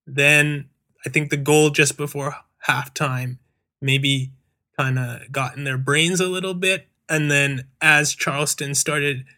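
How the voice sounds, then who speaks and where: A man hosting a soccer discussion, giving measured, hedging analysis. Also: a little high, steady tone, pushed and bright. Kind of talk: casual chat.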